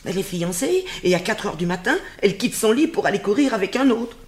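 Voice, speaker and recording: A woman's voice: quick pace, close to an everyday voice. An actress voicing an old royal nurse who scolds and dotes on her charge, in a stage drama. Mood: indignant. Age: older. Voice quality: lively scolding voice, swinging high and low.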